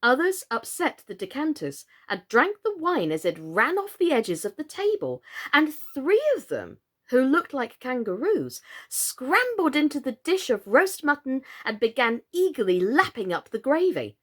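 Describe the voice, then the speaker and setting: Female storyteller, high voice with big swings in pitch, expressive narration for children. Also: measured pace. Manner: theatrical, expressive. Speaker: storyteller, actress.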